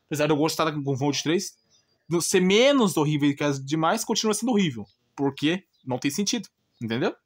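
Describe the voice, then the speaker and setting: A man's voice: fairly high pitch, expressive, fairly quick, breathy edge. A man with a Brazilian Portuguese accent gives an informal, blunt rant, exasperated and repeating himself with rhetorical questions. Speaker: gaming channel host.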